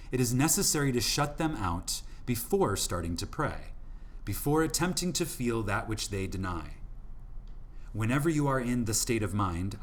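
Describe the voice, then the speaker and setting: A man reading an audiobook from a script in an emphatic, deliberate manner, with dramatic pauses for effect. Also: on the low side. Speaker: audiobook narrator.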